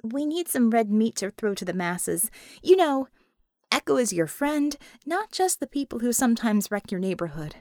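A woman's voice: on the high side, very animated, normal speed, a little mellow, no room sound, studio quality. A woman voicing an eager, chatty female tech aide briefing her boss in an audiobook. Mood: apologetic, eager.

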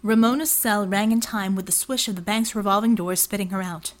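A woman's voice: mid-range voice, fairly even intonation, brisk. A woman reading scripted fiction as an audiobook narrator.

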